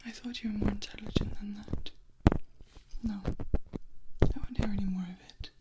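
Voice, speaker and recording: A woman voicing a possessive female vampire in an ASMR roleplay, speaking in a soft breathy whisper with a formal, gently scolding manner. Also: mid-range voice, fairly even intonation, normal speed, lightly acted, poor audio. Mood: reproachful, doting. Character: possessive female vampire, scornful of humans, doting.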